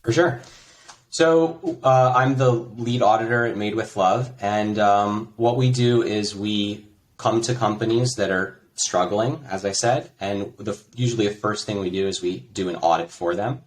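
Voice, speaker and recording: An American man, a guest in a conversational interview, explaining his work in a relaxed way with frequent fillers. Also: lowish voice, measured pace. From United States. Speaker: interview guest, tech executive.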